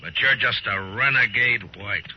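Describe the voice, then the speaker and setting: An actor playing a stern, fearless frontier marshal in a 1950s radio western, speaking in a terse, dry, hard-boiled way. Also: male voice, medium pitch, moderate pace, heavy background noise, close to an everyday voice. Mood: dry contempt. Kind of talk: 1950s radio drama acting and first-person narration. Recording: muffled old radio recording with music.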